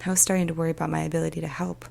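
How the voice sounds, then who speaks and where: A woman voicing a weary, troubled police emergency dispatcher in a soft, often whispered ASMR storytelling monologue, speaking slowly and deliberately. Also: low-pitched, flat delivery, creaky, heavily acted. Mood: anxious. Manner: slow, hushed, deliberate, with pauses. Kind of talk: ASMR storytelling, first-person dramatic monologue.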